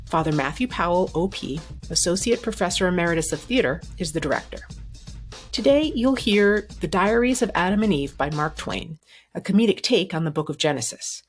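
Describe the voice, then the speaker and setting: A woman's voice: fairly low pitch, expressive, brisk, faint music behind. A woman hosting a podcast, reading a scripted introduction to the episode. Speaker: podcast host.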